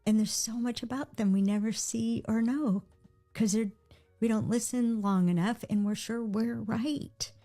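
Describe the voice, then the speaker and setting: A woman with a mellow, warm tone talks to an audience in a played clip. She acts out quick bits of dialogue and drops her voice low for asides, with faint music behind her. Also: steady tone.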